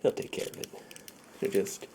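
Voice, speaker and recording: A man doing a soft-spoken, half-whispered ASMR roleplay in a soothing, reassuring manner, murmuring and repeating himself close to a sensitive microphone. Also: moderate pace, noisy background. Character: friendly shady dealer treating a client's tingle immunity. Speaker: ASMR performer.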